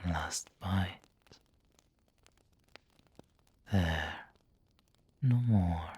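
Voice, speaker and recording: A man playing a refined, doting, possessive vampire lord in an ASMR roleplay, breathy and whispery, with a genteel, coaxing manner. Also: low-pitched, a little mellow, slight background noise. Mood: firm, coaxing. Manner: slow, genteel, coaxing endearments.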